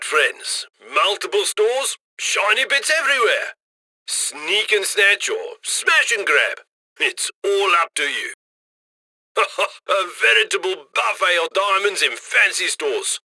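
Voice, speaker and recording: A man's voice: high voice, sing-song, slow pace, bright, forceful tone, dry recording, heavily acted. An actor playing a cocky, jovial heist mastermind in a video game, chummy and theatrical as he guides his crew over radio comms. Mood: briskly instructing. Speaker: mission handler voice in a game. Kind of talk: scripted video game mission voice lines.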